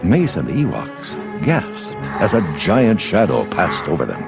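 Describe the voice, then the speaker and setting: Deep-voiced man narrating a children's adventure. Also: huge pitch swings, measured pace, gravelly, loud music behind the voice. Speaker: storybook narrator. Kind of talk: dramatic scripted children's narration.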